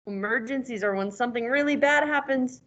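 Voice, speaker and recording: A woman's voice: a little high, brisk, fairly bright tone. A woman reading a picture book aloud as a teacher, stopping conversationally to ask questions and explain words, on a dull lo-fi recording.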